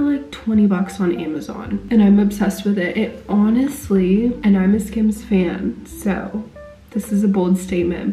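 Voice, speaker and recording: A young woman, hoarse as she recovers from losing her voice, chatting casually to camera as a lifestyle vlogger. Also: medium pitch, warm tone, poor audio. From United States. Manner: chatty, bubbly, heavy use of "like" and "you guys".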